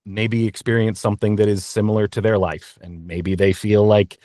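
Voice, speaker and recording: A man answering interview questions candidly as a voice actor, in an earnest, reflective and halting conversational manner. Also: on the low side, level tone, dark tone, dry recording, studio quality. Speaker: interview guest, voice actor and narrator.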